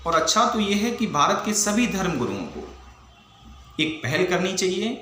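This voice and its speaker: An echoey room with faint noise carries the bright, forceful voice of an Indian man. He lectures like an exam teacher, stressing points firmly and explaining step by step with rhetorical questions. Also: high voice, sing-song, normal speed. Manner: emphatic, didactic, mixing in English legal terms. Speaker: teacher for civil service exam students.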